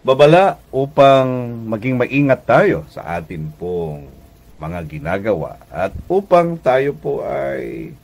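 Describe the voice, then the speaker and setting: A Filipino man preaching a devotional radio message as pastor and host, deliberate and emphatic, often checking in with listeners. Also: mid-range voice, very animated, gravelly, darkish tone. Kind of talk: devotional radio sermon in conversational teaching tone.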